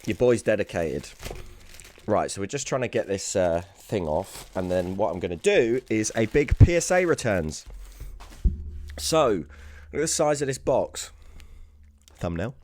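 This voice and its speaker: A British man with a hoarse, raspy voice that sounds worn out, hosting a casual sports card unboxing video. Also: fairly low pitch, sing-song, a little slow, pauses often, darkish tone. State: hoarse, lost voice from screaming the night before.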